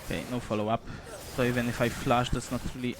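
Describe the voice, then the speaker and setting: A man's voice: medium pitch, flat delivery, fast, background noise. Laid-back young man from Poland. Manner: laid-back, chatty, swears casually, chuckles often. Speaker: streamer. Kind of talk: casual live gameplay commentary.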